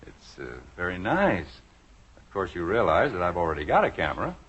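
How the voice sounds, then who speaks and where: Wry sitcom dad, very animated pitch. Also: male voice, fairly quick. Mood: amused, awkward. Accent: American. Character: mild, wry, patient middle-aged family father. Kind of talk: 1950s radio sitcom acting. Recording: dull old radio recording, faint noise.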